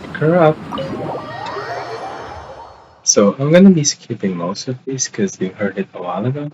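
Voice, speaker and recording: A man's voice: medium pitch, very animated, moderate pace, warm tone, a little hiss or hum. A man streaming a video game, chatting casually and reading dialogue choices aloud into a headset. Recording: dull headset mic, game music behind.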